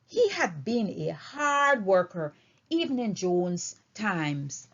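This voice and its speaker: A woman reading a story aloud in an expressive, deliberate and emphatic way. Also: medium pitch. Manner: emphatic, deliberate phrasing with dramatic swings. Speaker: audiobook reader. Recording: dull, lo-fi recording.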